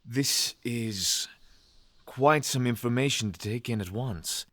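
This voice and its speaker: A man's voice: medium pitch, takes it slowly, fairly bright tone, dry recording. A man playing a formal, skeptical traveler in a fantasy audio drama. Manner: formal, uncontracted phrasing.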